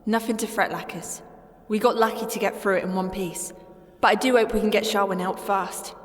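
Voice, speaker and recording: A woman's voice: medium pitch, steady tone, fairly quick, natural voice. An actress playing an earnest, caring female adventurer who takes charge, in an audio drama. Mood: reassuring. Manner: earnest, urgent, compassionate. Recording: long reverb.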